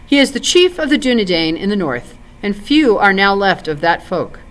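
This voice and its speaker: A woman's voice: mid-range voice, very animated, normal speed, fairly bright tone. A woman voicing a wise, stately male elf lord who makes solemn pronouncements in a storybook.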